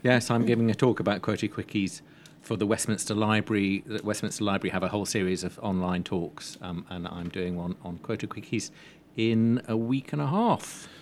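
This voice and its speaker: A man's voice: on the low side, brisk, hardly any pauses. A man chatting casually on a panel as the resident film expert. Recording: faintly noisy live event recording.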